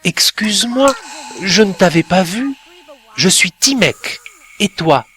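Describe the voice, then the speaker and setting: A man's voice: high-pitched, animated, takes it slowly, bright, forceful tone, voice pushed up, full character voice. A man voicing a timid, polite little boy lost at sea in a storybook tale, speaking in shy, pleading tones.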